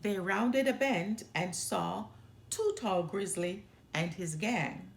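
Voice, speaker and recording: A woman reading a children's storybook aloud as an expressive narrator. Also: mid-range voice, slow, gravelly, roomy sound. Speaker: storyteller, children's book reader.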